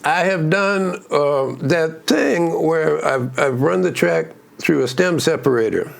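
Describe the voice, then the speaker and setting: A man with a gruff voice hosting a casual music breakdown, rambling with restarts and plenty of enthusiasm. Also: fairly high pitch, slow pace. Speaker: music channel host.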